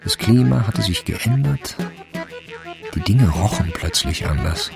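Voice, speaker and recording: An actor playing the narrator of a radio crime drama, telling the story in a sober, deliberate way. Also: male voice, lowish voice, animated. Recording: music clearly under the voice.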